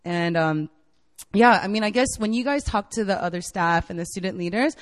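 A woman's voice: mid-range voice, lively intonation, moderate pace, in a large hall. A woman in her late twenties, a campus ministry staff member, giving a casual, enthusiastic sermon to college students in a university meeting room, full of "like" and "right?". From United States (Virginia). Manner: chatty and enthusiastic, filler-heavy with frequent "like" and "right?".

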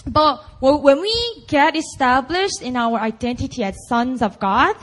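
A young woman preacher with a Korean accent and a high, bright-sounding voice. She talks to the congregation casually and with emphasis, tossing in "like" and "right?" between her points. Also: animated, unhurried. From Busan, South Korea. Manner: chatty and emphatic, full of "like" and "right?". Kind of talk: conversational sermon.

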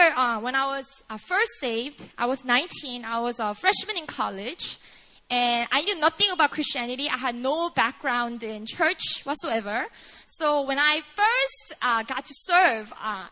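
A woman's voice: high voice, bright, forceful tone, poor audio. A young Korean woman with a Korean accent, preaching earnestly and telling a personal story, with "like" and "you know" throughout.

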